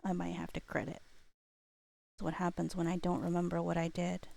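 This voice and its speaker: A woman's voice: on the low side, completely flat delivery, fairly quick, vocal fry, darkish tone, dry recording. A woman hosting a livestream, chatting casually and playfully with viewers in a rambling way. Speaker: livestream host. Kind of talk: casual chat with live viewers.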